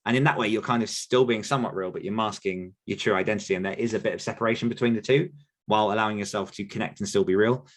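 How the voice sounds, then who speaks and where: A man's voice: lowish voice, steady tone, very fast. A man, answering listener questions on a podcast in a rambling, self-correcting way. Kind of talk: casual Q&A chat.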